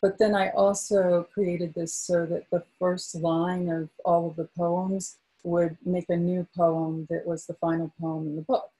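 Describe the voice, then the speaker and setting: A woman poet reads aloud in a slow, soft-spoken way, chatting casually between poems. Her voice is dark and mellow, a little husky, and sits slightly low. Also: level tone, poor audio. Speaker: poet at a book launch. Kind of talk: poetry reading with casual asides.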